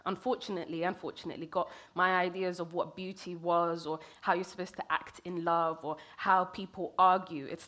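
A woman's voice: on the low side, little pitch movement, fairly bright tone. Thoughtful woman in her thirties. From London. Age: 30s. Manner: halting and thoughtful, with many fillers and restarts. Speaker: poet. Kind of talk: reflective interview conversation.

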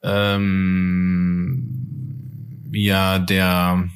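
Male podcast co-host, lowish voice, relaxed chat style, halting as he finds his words. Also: clear, resonant tone. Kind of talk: casual fan podcast chat.

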